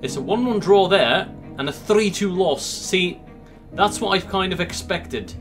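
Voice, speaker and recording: A man's voice: sits high, measured pace. A young man from Belgium with a Belgian Dutch accent, commentating a football game simulation in an upbeat, chatty way. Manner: upbeat, chatty, swings between excitement and gloom. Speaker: gaming YouTuber. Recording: music playing underneath.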